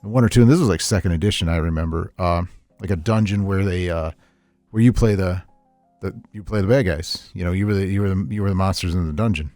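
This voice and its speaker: A middle-aged man chatting casually on a podcast, telling stories in a rambling, animated way with repeats and chuckles. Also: deep voice, quick pace, dry recording, faint music behind. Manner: animated storyteller, chuckles often, repeats himself. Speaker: podcast host, veteran tabletop gamer.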